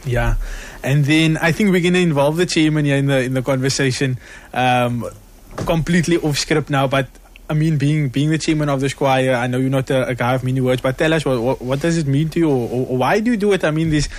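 A man's voice: medium pitch, fairly quick, hardly any pauses. A man hosting a casual interview, asking loose, teasing questions. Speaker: interview host.

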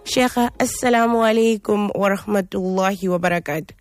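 A woman's voice: medium pitch, no room sound, studio quality. Woman radio host over faint music. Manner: measured, scripted intro with fillers. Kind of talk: radio talk show hosting.

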